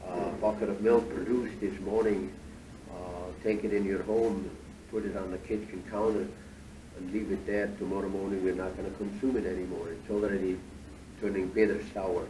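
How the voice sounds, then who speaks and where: A man from Switzerland, guiding a tour through a cheese factory and explaining things at an unhurried pace with many fillers. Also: low voice, flat delivery. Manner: unhurried, many fillers, slightly foreign phrasing. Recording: echoey factory hall, distant mic, machinery noise.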